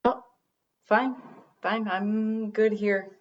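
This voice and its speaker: A woman acting in a comedy radio play as a curt, sardonic producer, snappish and deadpan. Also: medium pitch, very slow, highs cut off, a little hiss or hum. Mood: resigned sarcasm.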